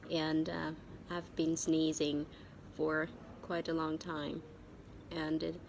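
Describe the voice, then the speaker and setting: A Vietnamese-accented woman with a cold and sore throat gives a spoken English vocabulary lesson as a teacher, haltingly and repeating key words. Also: low-pitched, completely flat delivery, vocal fry, slight background noise.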